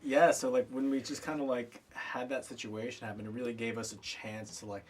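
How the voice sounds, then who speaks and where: A man's voice: mid-range voice, flat delivery, brisk, distant mic. A young man in his twenties from Connecticut, a band musician speaking in a laid-back, hesitant way full of "um". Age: 20s. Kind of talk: casual documentary interview.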